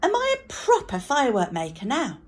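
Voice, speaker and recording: A woman's voice: high-pitched, huge pitch swings, moderate pace, breathy edge, pitched above the performer's own voice, heavily acted. A woman voicing an eager, curious young girl, an apprentice in a storybook reading. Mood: eager, hopeful. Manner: eager, questioning.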